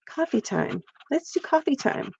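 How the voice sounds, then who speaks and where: A woman's voice: high voice, big pitch swings, moderate pace, creaky, slight background noise, rough recording. A middle-aged woman from Pennsylvania, chatting casually with viewers while she crafts on a livestream. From central Pennsylvania.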